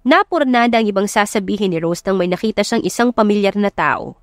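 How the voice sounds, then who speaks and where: A woman narrates a romance story in a Filipino accent. Her voice sits at a middle pitch. The sound is dry, with very little room around it. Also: lively intonation, a little fast, no room sound, faint music behind. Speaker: audiobook narrator.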